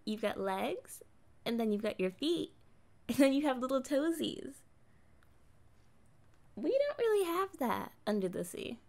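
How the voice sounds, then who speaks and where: A woman's voice: sits high, vocal fry, exaggerated voice. A woman voicing a kind, naive young mermaid in an ASMR roleplay, playful and chatty as she speaks straight to the listener. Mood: curious, playful. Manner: playful, chatty, expressive, drawn-out phrasing.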